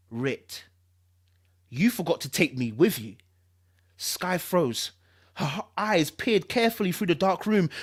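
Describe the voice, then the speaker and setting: A woman reading a story aloud in a theatrical, suspenseful and informal storytelling style. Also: fairly low pitch. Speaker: host reading a novel aloud to followers. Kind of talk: dramatic storytelling read-aloud with chatty asides and vocal sound effects.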